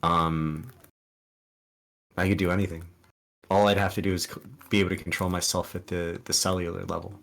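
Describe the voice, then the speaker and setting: Very deep-voiced man, Spanish first language. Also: level tone, fairly quick, gravelly, pauses often, a bit bright. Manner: rambling, many restarts and ums, earnest advice. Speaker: guest streamer. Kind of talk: casual podcast interview.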